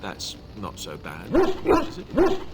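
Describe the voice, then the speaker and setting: An actor playing a young, gallant warrior companion in a fantasy video game, teasing and bashful with a wry mock-innocent delivery. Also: male voice, medium pitch, wildly swooping pitch, slow pace, heavy background noise. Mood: bashful candour.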